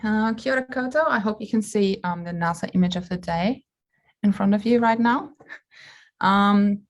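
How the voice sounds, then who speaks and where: A woman from Austria with an Austrian German accent, giving a halting online conference talk as a media artist. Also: medium pitch. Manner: halting, searching for words as she goes. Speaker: media artist presenting on a panel. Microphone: video call.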